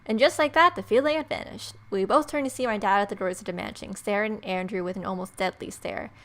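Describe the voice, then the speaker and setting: A woman's voice: mid-range voice, fast talker. A woman let's player narrating a visual novel aloud as she plays. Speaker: video game let's player.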